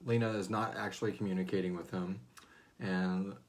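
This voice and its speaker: Low, gravelly man chatting casually. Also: steady tone, moderate pace. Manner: hesitant, rambling, slangy and profane. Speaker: host of a serial drama fan Q&A. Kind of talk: casual unscripted livestream chat.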